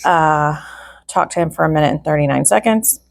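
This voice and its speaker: A woman with a fairly low voice full of vocal fry gives informal true crime commentary. She works methodically through a log, one entry after another, slipping in wry remarks. The sound is dry, with very little room around it. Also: fairly quick, dry recording. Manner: methodical, item-by-item recital with wry asides.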